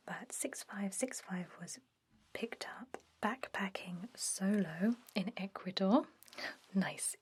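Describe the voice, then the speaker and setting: A woman playing a friendly, quietly sinister vampire head nurse in a soft, breathy ASMR whisper. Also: medium pitch. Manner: slow, gentle, coaxing, professional patter. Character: friendly chatty female vampire head nurse, cheerfully sinister toward captives.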